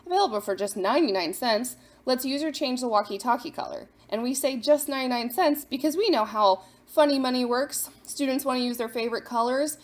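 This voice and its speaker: A woman hosting a scripted explainer for parents, instructive, posing questions and then answering them. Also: a little high. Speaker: host of a parent guide to apps.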